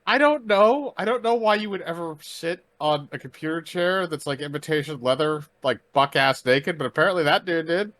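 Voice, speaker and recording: A man's voice: fairly high pitch, animated, moderate pace, hardly any pauses, a bit bright, dry recording. A man chatting casually as co-commentator on a gaming stream, wry, slangy and given to exclamations. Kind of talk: casual banter.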